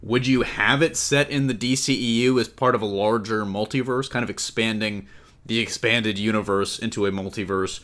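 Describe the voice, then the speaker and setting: Low-voiced man hosting entertainment chat. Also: fairly even intonation, moderate pace, a bit bright. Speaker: podcast host. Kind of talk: casual entertainment news discussion.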